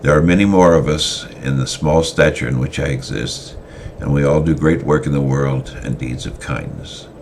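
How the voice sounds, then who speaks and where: A wise, solemn old mentor of small stature speaks in archaic phrases, his voice very deep and gravelly, as a storyteller puts him on. A faint hiss runs under the reading. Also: full character voice.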